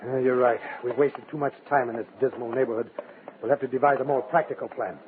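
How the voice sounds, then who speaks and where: A man's voice: mid-range voice, fairly even intonation, quick pace, noisy background, close to an everyday voice. A man playing an irritable, suspicious communist party comrade in a 1950s radio drama, curt, officious and needling. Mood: weary, practical. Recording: thin, tinny old radio recording.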